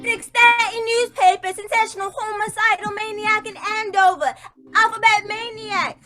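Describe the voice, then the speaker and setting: A brash young-sounding news hawker in an old radio drama, crying out in an extremely high, piercing, bright voice. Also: female voice, fairly even intonation, normal speed, a little hiss or hum. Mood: hawking. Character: brash, piping street newspaper seller crying headlines. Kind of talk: old-time radio play acting.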